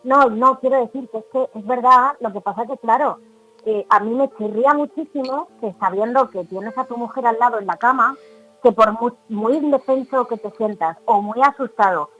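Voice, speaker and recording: Female panelist, Spain accent, higher-pitched, opinionated and conversational. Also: normal speed, a bit bright, faint music behind, rough recording. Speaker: radio panelist. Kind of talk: conversational case commentary.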